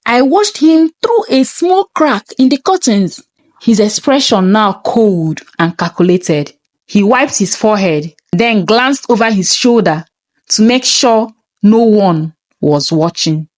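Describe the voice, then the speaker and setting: A woman's voice: medium pitch, expressive, takes it slowly, no room sound. A woman reading a scripted first-person story in a dramatic, suspenseful manner.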